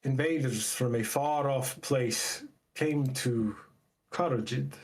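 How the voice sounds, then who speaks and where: A man voicing a solemn elder who recounts ancient lore in a tabletop game, measured, formal and portentous. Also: medium pitch.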